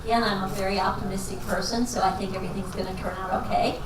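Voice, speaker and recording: A woman's voice: mid-range voice, fairly even intonation, fast talker, crowd noise. A woman from Texas, a finance professor, answering audience questions at a lecture in a folksy, self-deprecating way. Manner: folksy and self-deprecating, jokes and laughs as she talks. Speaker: guest speaker, finance professor. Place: lecture hall. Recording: echoey hall recording from far off, with noise.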